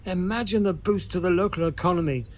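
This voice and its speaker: A man's voice: sits high, fast, slight background noise, natural voice. Man as a mild, genial henpecked husband. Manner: genial, politely understated.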